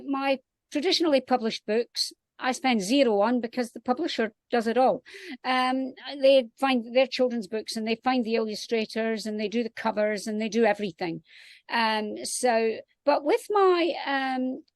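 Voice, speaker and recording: A Scottish woman speaks in a fairly high voice as a guest author in a casual panel talk. Her sound is dry, with hardly any room reflection, as she talks outdoors. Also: no echo. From Scotland.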